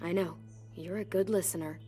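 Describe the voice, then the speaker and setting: A woman playing a protective, patient teenage girl looking after a child, in naturalistic game acting with a steady delivery. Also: on the low side, brisk, close to an everyday voice. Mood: soothing. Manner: gentle, coaxing, steady. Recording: music clearly behind the voice.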